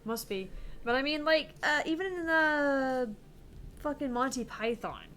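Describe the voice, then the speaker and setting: A woman's voice: high voice, measured pace, fairly bright tone, slight background noise. A woman co-hosting a game stream, chatting casually, giggling and swearing.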